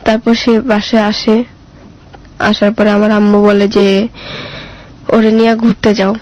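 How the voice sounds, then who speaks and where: A woman's voice: mid-range voice, flat delivery, unhurried, darkish tone, lo-fi sound. A girl in her mid-teens with a colloquial Bangladeshi accent, telling her own story simply in an interview with short, plain answers.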